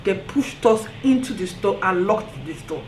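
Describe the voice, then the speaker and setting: A woman with a Nigerian accent telling a story on her vlog in an animated way, often breaking into laughter. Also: mid-range voice, normal speed, soft background music. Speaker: vlogger. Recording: roomy echo, faint ambience.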